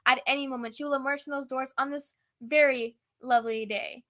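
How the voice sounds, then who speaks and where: A woman's voice: high-pitched, steady tone, a little fast, sharp tone. A woman playing an earnest, overblown female news reporter in a comic radio play. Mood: portentous. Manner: portentous, overblown.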